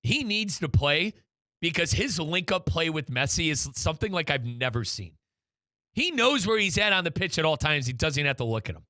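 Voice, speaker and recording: A man's voice: on the high side, big pitch swings, normal speed, pushed and bright, no room sound. A man hosting a soccer talk show in a casual, opinionated and emphatic way. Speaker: soccer show host and radio play-by-play commentator.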